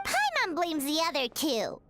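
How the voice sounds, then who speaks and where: A woman voicing a small childlike fairy companion in an anime game, squeaky, excitable and fretful, exclaiming as she talks. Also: measured pace, full character voice. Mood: puzzled, indignant. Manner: exclamatory, speaks of herself in third person. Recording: music behind the voice.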